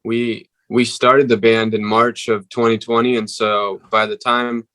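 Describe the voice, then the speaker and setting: A young male musician with a deep voice, answering interview questions haltingly with many fillers. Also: level tone, a bit bright, no echo. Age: young adult. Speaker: musician guest.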